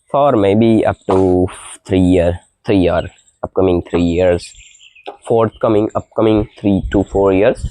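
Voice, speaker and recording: Young man, deep voice with a cold. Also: warm tone, no room sound, low-quality recording. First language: an Indian language. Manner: halting speech with many fillers and little laughs. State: has a cold, voice deeper than usual. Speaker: vlogger. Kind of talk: casual morning vlog, practicing English. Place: outdoor balcony with birdsong in the early morning. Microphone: mic with noise cancellation.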